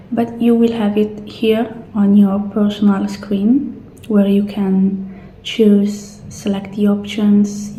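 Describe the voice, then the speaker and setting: A woman playing a calm, polite futuristic clinic receptionist in a soft-spoken, gentle, unhurried ASMR roleplay. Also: medium pitch, echoey room, slight background noise, close to an everyday voice, low-quality recording. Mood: politely attentive. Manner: gentle, unhurried, reassuring customer-service talk.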